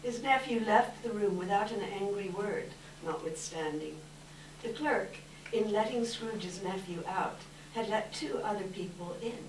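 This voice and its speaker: Heard from a distance in an echoing room, a woman narrates a story from a script. Her voice has a medium pitch, with faint hiss around it. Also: unhurried. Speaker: volunteer reader, narrator. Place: live indoor readers theater event.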